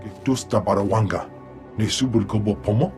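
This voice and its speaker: A man's voice: lowish voice, a little fast, a little mellow, soft background music, natural voice. Man voicing a stern alien master, clipped syllables. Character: stern alien Jedi master speaking an invented alien tongue. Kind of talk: video game cutscene acting.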